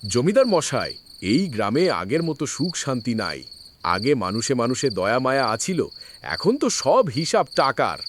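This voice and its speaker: A woman's voice: rumbling low, sing-song, measured pace, exaggerated voice. A woman voicing a respectful, nostalgic village man in a cartoon, rustic and wistful, over chirping background noise.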